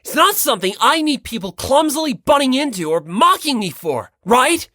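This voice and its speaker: A reader voicing an agitated, insecure teenage boy in an audiobook, with forceful, accusing delivery. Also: high voice, big pitch swings, normal speed, no room sound, clean studio sound, pitched above the performer's own voice, full character voice. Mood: agitated, bitter. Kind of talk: dramatic audiobook character reading.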